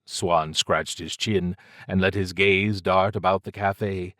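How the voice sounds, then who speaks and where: Low-voiced man narrating fiction. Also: steady tone, slow pace, fairly bright tone, no room sound, clean studio sound. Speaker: audiobook narrator.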